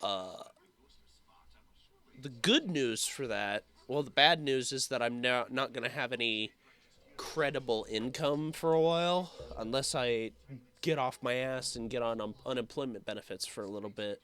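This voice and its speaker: A young man who is sick with a sore throat, talking in a rambling, halting way full of fillers for his casual vlog update. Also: medium pitch, fairly bright tone. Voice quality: slightly rough, hoarse voice. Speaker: YouTuber.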